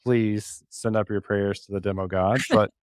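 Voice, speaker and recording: A man's voice: lowish voice, fairly even intonation, normal speed. A man giving a casual, informal live walkthrough of a technical demo, with some self-deprecation. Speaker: podcast host and developer giving a demo as guest.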